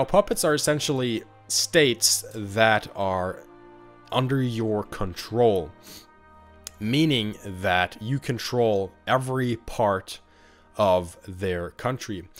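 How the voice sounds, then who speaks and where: A young man with a slightly sick, off-sounding voice, explaining a strategy game in a casual, rambling tutorial. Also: fairly low pitch. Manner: relaxed, rambling with many uh and um.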